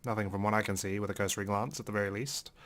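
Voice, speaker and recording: Low-voiced man narrating a visual novel. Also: steady tone, very fast, background noise. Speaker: let's play host. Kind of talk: dramatic read-aloud narration of a visual novel with commentary.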